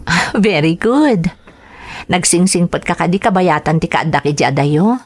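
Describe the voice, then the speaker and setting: An actress playing a dutiful, long-suffering elder sister with an Ilocano accent in an emotional radio drama. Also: female voice, lowish voice, lively intonation, normal speed. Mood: gentle, sorrowful.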